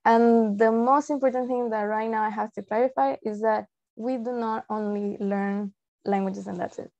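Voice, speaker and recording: A woman's voice: fairly high pitch, steady tone, normal speed, mellow. A young adult woman from Peru, a native Spanish speaker with a Peruvian Spanish accent, giving an informal, explanatory online presentation. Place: indoor room, on a video call. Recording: dull, lo-fi video call audio.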